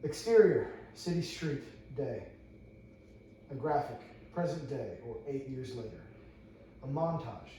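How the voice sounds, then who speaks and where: A man reading screenplay stage directions aloud as narrator at a table read, stumbling and repeating phrases. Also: medium pitch. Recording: echoey room, far from mic, faint noise.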